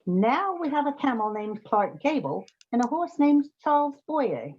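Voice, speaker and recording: Wry, matter-of-fact heroine detective played by a woman, radio comedy, expressive intonation, slightly dull audio. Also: high voice, unhurried, slightly rough, full character voice. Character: wry, practical woman detective seeking odd jobs. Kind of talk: comic old-time radio play acting.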